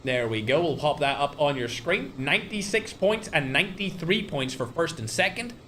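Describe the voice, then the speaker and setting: Bright-voiced young man casting esports live. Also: a little high, moderate pace, slight background noise. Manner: chatty, talks straight to chat, animated bursts. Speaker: tournament host and caster. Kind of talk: live-stream esports commentary.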